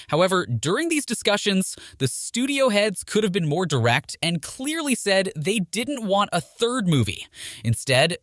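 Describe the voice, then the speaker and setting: Male video narrator, slightly high register, very animated intonation, scripted explainer delivery. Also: no echo, clean studio sound. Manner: presenter-like, poses rhetorical questions. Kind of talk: scripted film trivia narration.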